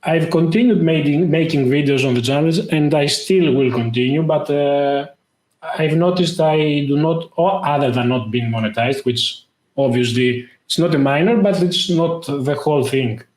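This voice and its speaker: A man talks casually as a guest, stopping often to hunt for words. His voice sits a little high for a man. The sound bounces around an echoey room. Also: darkish tone. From Greece. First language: Greek. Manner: halting, lots of "uh" fillers. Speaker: guest, tech YouTuber. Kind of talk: casual interview talk.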